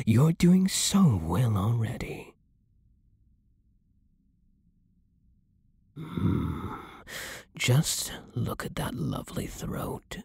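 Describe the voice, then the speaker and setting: A man voicing a centuries-old, possessive vampire lord in an ASMR roleplay, silky and commanding with a breathy voice that often sinks into whispers. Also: lowish voice, a little mellow, no room sound, studio quality, heavily acted. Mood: predatory, seductive. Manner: slow, theatrical, silky, commanding, condescending. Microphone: close studio microphone, dry.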